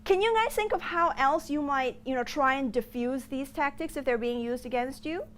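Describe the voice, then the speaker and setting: A fairly high-voiced woman with a mixed Singaporean and American accent teaches a class. She asks the room questions and tells her examples with lively storytelling. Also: normal speed, slight background noise. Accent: muddled Singaporean and American. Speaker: business school lecturer. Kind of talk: interactive classroom lecture.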